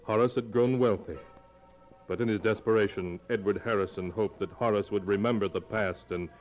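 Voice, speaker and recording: Man as a low-voiced radio mystery narrator. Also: steady tone, darkish tone, highs cut off, close to an everyday voice. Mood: grave, dramatic. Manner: dramatic, deliberate. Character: ominous storyteller of a radio mystery. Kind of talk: scripted 1940s radio narration. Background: music.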